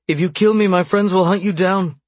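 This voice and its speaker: Man voicing a high-pitched, desperate captive woman. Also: fairly even intonation, brisk, no room sound. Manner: defiant, desperate, breathy. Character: frightened but defiant captive young woman. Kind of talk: audiobook dark thriller dialogue.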